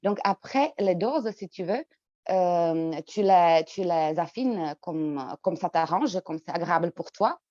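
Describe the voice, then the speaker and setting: Hesitant Czech-accented woman, lowish voice. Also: animated, a little slow, darkish tone, no room sound, lo-fi sound. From Czech Republic. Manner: hesitant, many fillers and repeated words. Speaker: guest. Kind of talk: interview answers.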